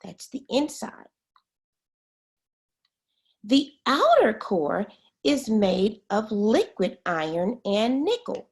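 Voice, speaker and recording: A woman reading a children's science book aloud as a teacher, expressively and pausing to explain words. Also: on the high side, sing-song.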